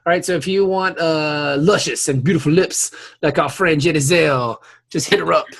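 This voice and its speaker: A man's voice: a little high, moderate pace, vocal fry. A man hosting a casual podcast, bantering in an effusive, teasing way with plenty of swearing. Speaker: podcast host.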